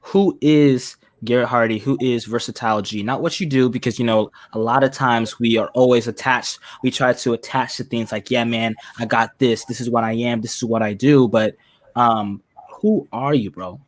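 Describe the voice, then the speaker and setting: A man hosts a casual interview with a close, dry sound. His pitch is middling and his wording is colloquial, addressing the guest like a friend. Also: fairly even intonation, moderate pace, dry recording. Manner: colloquial, says "man" and "bro" often. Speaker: interview show host.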